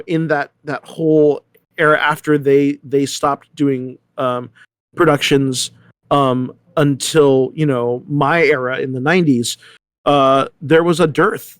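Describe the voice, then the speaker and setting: A man's voice: fairly high pitch, measured pace, clear, resonant tone, no echo. A middle-aged American man talking casually as an interview guest, rambling and often hesitating and correcting himself. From San Diego, United States. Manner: rambling, animated storyteller with many hesitations and self-corrections. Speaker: theater artistic director, interview guest.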